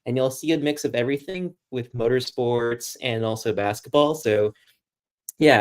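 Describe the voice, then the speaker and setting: A man from Boston with an American accent, chatting casually and with self-deprecation as a guest sports journalist. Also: on the low side. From Boston, United States. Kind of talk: casual livestream chat.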